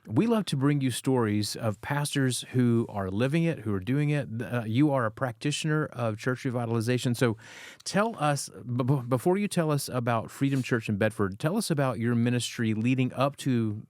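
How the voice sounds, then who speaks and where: A man's voice: medium pitch, normal speed, darkish tone, dry recording. American male podcast host, dry close sound. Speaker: podcast host, church growth director. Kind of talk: casual conversational hosting.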